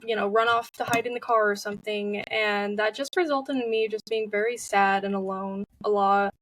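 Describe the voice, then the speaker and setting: A woman's voice: medium pitch, steady tone, normal speed, fairly bright tone, distant mic. A young woman speaking as an interview guest, candid and open with frequent fillers. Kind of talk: personal interview talk.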